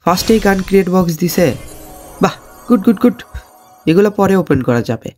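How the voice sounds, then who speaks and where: Casting: male gaming YouTuber, Bangladeshi accent, higher-pitched voice, very quick casual delivery, slangy and direct. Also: lively intonation, darkish tone, soft background music. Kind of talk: casual game commentary.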